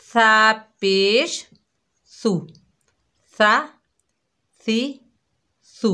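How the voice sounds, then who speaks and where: A woman with a Pakistani Urdu accent teaches children in an unhurried classroom manner. She repeats herself patiently and draws out the sounds she demonstrates, at a steady middle pitch. Her delivery stays slow and deliberate throughout. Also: clean tone. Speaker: Quran recitation teacher.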